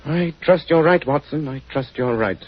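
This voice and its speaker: An actor playing a shrewd, dry gentleman detective, clipped and wry, in 1940s radio acting style. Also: a little high, normal speed, lightly acted. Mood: wry, composed. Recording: dull, muffled 1940s radio recording.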